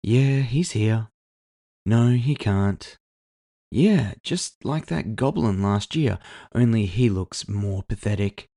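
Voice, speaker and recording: A man voicing a brash, sharp-tongued woman with a casual, sardonic, teasing manner in a cyberpunk audio drama. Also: on the low side, expressive, takes it slowly, voice pushed up. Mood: casual, mocking. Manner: casual, sardonic, foul-mouthed. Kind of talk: audio fiction character dialogue.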